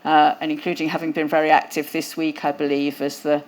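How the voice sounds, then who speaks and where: A woman with a lowish voice hosts an exhibition launch. She talks conversationally into a lapel mic, with some fillers along the way. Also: flat delivery, brisk. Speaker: event host. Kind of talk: hosting a museum talk. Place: event hall.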